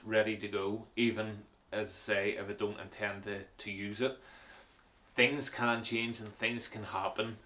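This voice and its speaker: Casual male vlogger, lowish voice, practical and unhurried, lots of ums. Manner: relaxed, practical, frequent fillers and repeated words. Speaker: hiking vlogger. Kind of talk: casual gear walkthrough.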